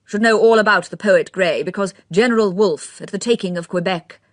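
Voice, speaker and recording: A woman's voice: mid-range voice, a little fast, no echo, studio quality, pitched above the performer's own voice, natural voice. A woman reading the part of a courteous elderly aristocrat who speaks formally and earnestly.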